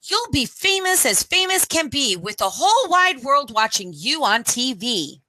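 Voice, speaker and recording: A middle-aged woman reading a picture book aloud for children at storytime, phrase by deliberate phrase, into a headset microphone. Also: sits high, big pitch swings, pushed and bright, no room sound.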